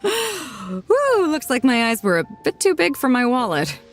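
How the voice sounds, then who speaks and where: A woman's voice: sits high, wildly swooping pitch, measured pace, over loud music, heavily acted. A woman voicing a bold, generous female ship captain who talks casually and laughs easily, in a game cutscene.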